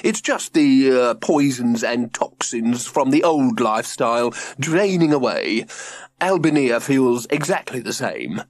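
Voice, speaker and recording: A man's voice: mid-range voice, lively intonation, a little slow. A man voicing an eccentric, fussy father in an audiobook, hesitant yet over-enthusiastic. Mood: evasive.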